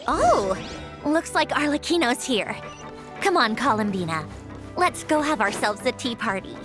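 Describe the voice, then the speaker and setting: A woman's voice: a little high, sing-song, a little slow, over music. A woman voicing a prickly, dry-witted young woman in a game, curt and sometimes flustered. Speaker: game character.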